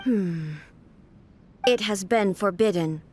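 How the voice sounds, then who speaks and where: A woman's voice: medium pitch, slow pace, noisy background, close to an everyday voice. A woman voicing a cold, impassive puppet stand-in for a goddess in a video game, clipped and formal. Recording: faint music behind the voice.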